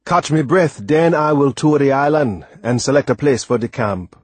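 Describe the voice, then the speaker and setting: A man performs an old West Indian seaman for an audiobook, pitched up and hearty. He speaks in dialect with emphatic, deliberate phrasing and a reassuring temper. The recording is clean and dry. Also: breathy edge, no echo, lightly acted. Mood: confident. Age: older. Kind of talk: audiobook character voice.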